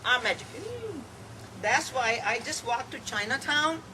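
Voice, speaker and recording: A woman from Pakistan with a Pakistani accent, performing a satirical monologue in a deliberate, dramatic way. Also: on the high side, bright, forceful tone. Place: live venue, cafe. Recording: distant mic, clear background noise.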